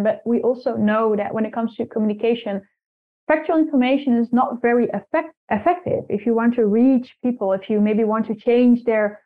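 A woman whose first language is Dutch, a guest answering interview questions thoughtfully, with frequent restarts. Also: a little high. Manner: thoughtful, many restarts and repeated words. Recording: tinny phone-like line.

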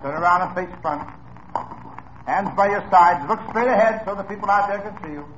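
An actor playing a stern police sergeant addressing a lineup audience in a 1950s radio drama, formal and commanding. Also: male voice, sits high, brisk, fairly bright tone, slight background noise. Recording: dull lo-fi old radio broadcast.

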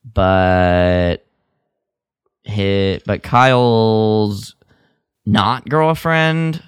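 A man co-hosting a podcast, chatting casually through a story recap in a halting, loosely phrased way that often trails off. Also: lowish voice, smooth, no echo, clean studio sound. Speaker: podcast co-host.

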